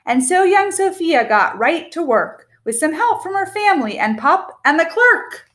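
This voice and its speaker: A woman reads a children's picture book aloud expressively, with a measured, rhythmic delivery of rhyming verse. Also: extremely high pitch, very animated, fairly bright tone.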